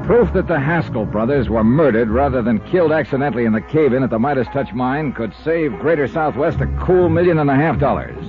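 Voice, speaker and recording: A cool, wry insurance investigator, played by a male actor in a vintage radio crime serial. He speaks in a measured, mid-pitched voice on a dull old recording. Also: music in the background, close to an everyday voice. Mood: brisk, narrating. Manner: measured, wry, calm under threat. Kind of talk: 1950s radio drama narration and dialogue.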